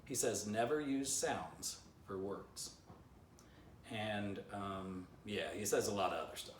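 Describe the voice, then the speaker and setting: A man's voice: on the low side, takes it slowly, a little hiss or hum. Playful man reading his children's book. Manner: playful, chatty asides to young listeners. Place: living room by a fireplace, indoors. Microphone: camera a few feet away. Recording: roomy, slightly distant home recording.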